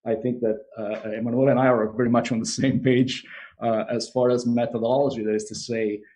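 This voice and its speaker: A man's voice: mid-range voice, flat delivery, brisk, mellow. A man speaking as a guest on a video call interview, hesitant with many fillers. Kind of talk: conversational interview.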